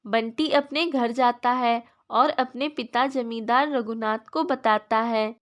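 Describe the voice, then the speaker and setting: Higher-pitched woman narrating children's stories. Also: slow pace. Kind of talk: scripted children's story narration.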